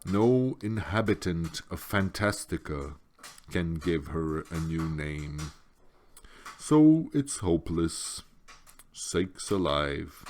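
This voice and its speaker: A man voicing an ancient, apathetic giant female turtle for a storybook, with a gurgling wheeze and a slow, weary, irritable drawl. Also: deep voice, animated, a little mellow, slight background noise. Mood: resigned, indifferent. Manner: slow, drawling, resigned speech.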